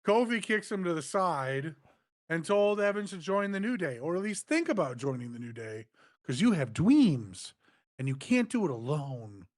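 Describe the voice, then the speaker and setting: A high-voiced man walks through a wrestling show in casual chat, with a wry edge. His pitch moves a lot. The recording is close and dry. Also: big pitch swings, moderate pace, a little mellow, no room sound. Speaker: co-host recapping a wrestling show.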